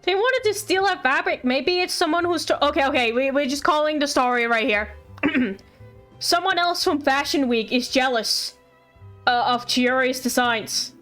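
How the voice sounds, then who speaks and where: A woman live-streaming a game with casual, playful, excitable commentary, joking along as she goes. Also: sits high, normal speed. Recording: faint game music behind.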